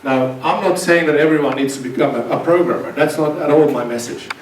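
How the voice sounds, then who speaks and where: Man, middle-aged, guest lecturer; halting, restart-heavy delivery, echoey hall, faint hiss. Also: a little high. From Finland. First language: Swedish. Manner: hesitant, many restarts and repeated words. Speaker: guest speaker, university lecturer. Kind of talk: seminar talk to students. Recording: echoey hall, distant mic, faint noise.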